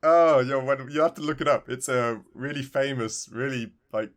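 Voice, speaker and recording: A man's voice: mid-range voice, moderate pace. A man co-hosting a podcast, chatting casually about retro video games in a rambling, emphatically repetitive way. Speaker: podcast co-host.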